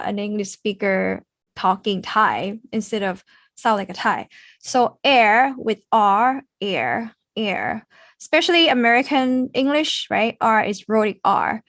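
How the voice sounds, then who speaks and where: A woman's voice: medium pitch, no echo, studio quality. A young woman language teacher giving a conversational explanatory presentation, repeating sounds to demonstrate them. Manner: demonstrates sounds slowly with repetition. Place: indoors on a video call.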